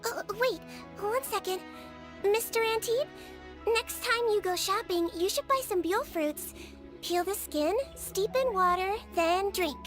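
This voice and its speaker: A woman voicing a small, childlike head nurse in a video game, polite, gentle, measured and earnest. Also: very high-pitched, soft background music. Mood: gentle, advising. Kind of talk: English video game voice acting.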